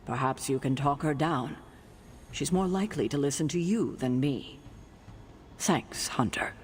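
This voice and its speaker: An actress playing a stern, distrustful middle-aged guardian of heroes in a video game, speaking formally and with exasperation. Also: female voice, low voice, animated, measured pace, a little hiss or hum. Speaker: leader and guardian of a team of heroes. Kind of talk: dramatic video game acting.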